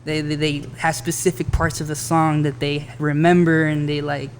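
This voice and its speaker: High-voiced laid-back man in his thirties. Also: level tone, moderate pace, a little hiss or hum. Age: 30s. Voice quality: high-pitched voice. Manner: laid-back, swears often, fills with "like". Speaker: rapper guest. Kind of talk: casual podcast chat. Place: bar.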